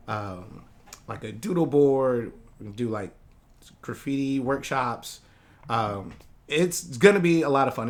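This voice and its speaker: A young man, a guest artist, chatting casually in a comic shop in a loose, slangy, rambling way. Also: medium pitch. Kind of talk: casual interview chat.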